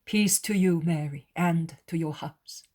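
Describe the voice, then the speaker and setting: Solemn, loving holy man in a devotional story, read by a woman: unhurried, soft-grained, gentle authority. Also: low voice. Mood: serene. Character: Jesus, a calm, solemn, loving male master.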